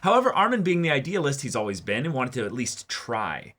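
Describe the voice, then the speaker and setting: A man's voice: medium pitch, big pitch swings, a little fast, bright, forceful tone. A man hosting an anime reaction show, reading viewer comments aloud and discussing them casually with emphatic delivery.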